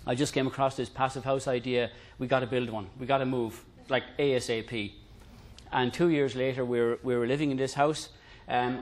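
Irish man giving an informal talk. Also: medium pitch. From Ireland. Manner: conversational, lightly humorous. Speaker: presenter introducing a technical concept. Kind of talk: informal lecture to a room.

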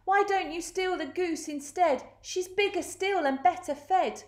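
Persuasive storybook duck, acted by a woman: pleading, high, lively, in a roomy space. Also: a little slow.

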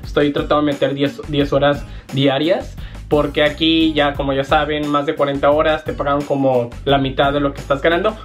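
A man's voice: on the high side, soft background music. A young man from Jalisco with a Mexican accent, chatting casually and animatedly to camera from his bedroom, using slang and lots of gestures. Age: young adult. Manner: animated, lots of hand gestures, slangy, puts on quick voices for quoted people. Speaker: vlogger. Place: small bedroom, indoors. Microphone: fixed camera at desk.

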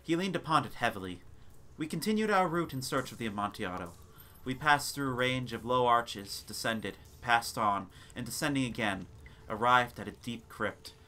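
A man reading a gothic tale as a theatrical, deliberate dramatic narration. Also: mid-range voice, pushed and bright. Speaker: narrator of a gothic short story. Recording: noisy ambience sound bed. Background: background ambience and sound effects.

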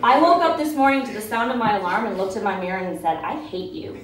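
Expressive woman reading onstage, roomy and distant. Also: a little high, quick pace. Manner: theatrical, expressive delivery with acted dialogue. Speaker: writer reading own short story. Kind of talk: live comedic story reading. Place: stage in a small event room with audience. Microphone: mic on stand, picked up by distant camera. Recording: roomy, distant, noisy live-event recording.

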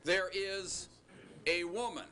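Male teacher, slow and deliberate delivery, joking asides, bright tone, faint hiss behind. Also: high voice. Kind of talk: recorded classroom lecture.

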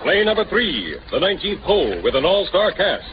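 A man's voice: high-pitched, very animated, fairly quick, over loud music. A man announcing from a script in declamatory 1930s radio style. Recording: dull, faintly noisy 1930s radio recording.

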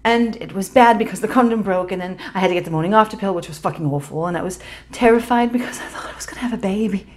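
A woman's voice: mid-range voice, quick pace, few pauses, lightly acted. A woman performing a dramatic stage monologue as a guilty young woman, blurting out a confession in an urgent, breathless run-on. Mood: rushed, rambling. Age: young adult.

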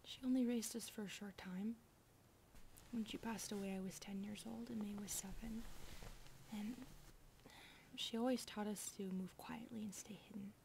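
Woman voicing young centipede maid, elder sister, shy, apologetic, whispery, creaky, faint rumble behind, ASMR roleplay. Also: steady tone, normal speed, pauses often, natural voice, low-quality recording. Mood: subdued, reflective. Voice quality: soft, breathy, often whispering. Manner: hesitant, apologetic, polite. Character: shy, proper twenty-one-year-old centipede maid, protective elder sister.

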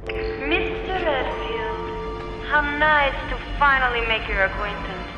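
A woman's voice: high voice, animated, takes it slowly. An actress playing a smug, condescending female corporate villain in a video game cutscene. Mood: smug, mocking. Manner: condescending, taunting. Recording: echoey hall, dull sound, music behind.

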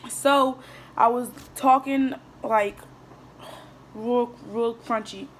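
A woman's voice: a little high, steady tone, very slow, a little hiss or hum. A teenage girl chatting casually to her viewers while eating, rambling through questions with lots of fillers and restarts. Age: teens. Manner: talks while eating, mouth sometimes full. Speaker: vlogger. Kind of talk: casual mukbang chat answering viewer questions. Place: small indoor room at a table. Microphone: phone camera on the table in front of her.

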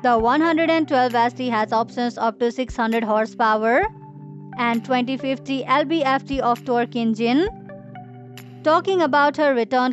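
Woman reading a scripted voice-over. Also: a little high. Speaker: narrator. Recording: dull lo-fi voice-over with faint music.

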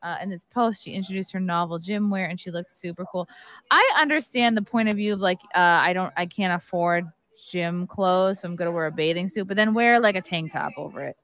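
A woman's voice: on the low side, a little fast, a bit bright. A woman hosting a casual panel chat from a home streaming setup, reading articles aloud and breaking in with her own comments. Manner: reads articles aloud with running asides. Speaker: podcast host.

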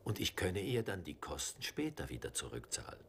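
An actor playing a gentle, trusting Carthusian monk who recounts his journey in a calm, reflective way in a dubbed film. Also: sits low, a little fast, slight background noise, exaggerated voice.

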